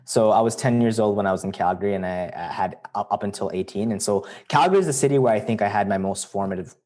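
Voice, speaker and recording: A young man from Canada, telling stories as a podcast guest in a relaxed, chatty way. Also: fairly low pitch, quick pace. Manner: relaxed, chatty storyteller, many likes and you-knows. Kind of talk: casual interview chat.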